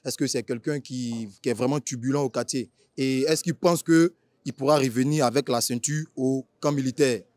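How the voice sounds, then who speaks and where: Ivorian man interviewing, deeper-toned and chatty. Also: moderate pace, darkish tone, dry recording, clean studio sound. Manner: chatty, repeats filler tags and rephrases questions. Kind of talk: informal spoken interview, street slang.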